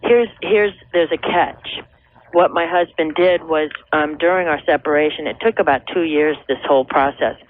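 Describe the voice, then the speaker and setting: A woman's voice: sits low, normal speed, dry recording. An American woman in her thirties calling a radio advice show, telling her personal account in an earnest, hesitant, conversational way. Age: 30s. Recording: tinny phone line on old radio tape.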